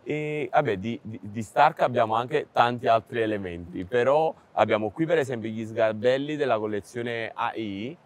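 A man's voice: medium pitch, moderate pace, hardly any pauses. A man hosting a casual interview, chatty, leading the questions and finishing his guest's sentences. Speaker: interviewer, podcast host. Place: busy furniture fair stand.

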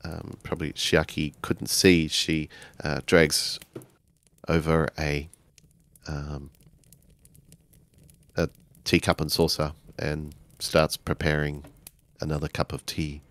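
A man improvising narration for a tabletop role-playing game in a halting, deliberate way. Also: rumbling low, little pitch movement, fairly bright tone, no room sound.

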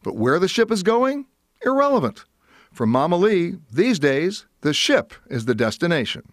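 A man's voice: high voice, big pitch swings. A man in his sixties, a travel correspondent, narrating a news feature and chatting in the studio. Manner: brisk, conversational.

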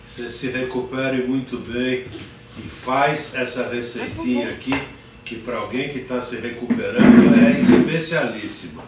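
An older man hosts a live cooking show from his home kitchen, talking slowly and warmly greeting viewers by name. His voice bounces around a hard, echoey kitchen, picked up by a phone set some way off. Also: medium pitch, fairly even intonation, distant mic, noisy background. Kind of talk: casual live cooking demonstration. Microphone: phone camera at a distance. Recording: roomy kitchen echo from afar.

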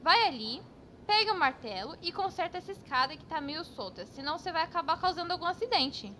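A woman's voice: very high voice, big pitch swings, fast talker, bright, forceful tone, a little hiss or hum, full character voice. A woman playing a bossy, exasperated young painter in a cartoon comedy, scolding in a Brazilian accent. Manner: scolding, exclamatory. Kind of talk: cartoon sketch comedy dialogue.

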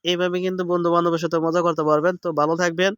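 A man narrates a casual how-to in a high, smooth voice. He talks fast and instructs step by step, often checking that the listener follows. The recording is dry and close. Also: little pitch movement, fairly bright tone, dry recording. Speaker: app tutorial host.

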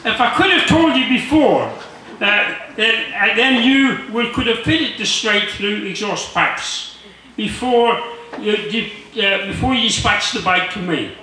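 A man in his sixties reads aloud to an audience in a jovial, halting way, adding joking asides. His voice is high and very bright and forceful. It comes through a PA with heavy echo. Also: a little hiss or hum. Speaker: guest reader. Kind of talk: humorous letter reading with asides to an audience. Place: large marquee tent with seated audience. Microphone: handheld mic through speakers, filmed from audience. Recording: very echoey PA in tent.

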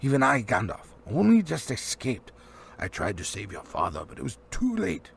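Man voicing a stern, emphatic old wizard, gravelly. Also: mid-range voice, wildly swooping pitch, moderate pace. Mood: grim. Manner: emphatic, grim. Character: stern old male wizard, authoritative and testy. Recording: faint background noise.

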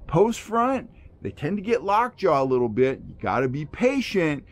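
A man's voice: fairly high pitch, sing-song, normal speed. A middle-aged American man giving instructional outdoor vlog talk as an angler, explaining points emphatically in folksy phrasing. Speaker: fishing show host and angler. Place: outdoors among trees.

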